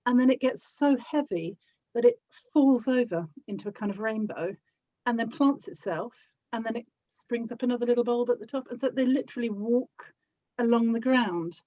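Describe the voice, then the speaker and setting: A woman chatting casually as a podcast guest, with a gentle, giggly way of talking. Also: fairly high pitch, moderate pace, dry recording, lo-fi sound. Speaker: podcast guest, audio drama producer. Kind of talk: casual chat.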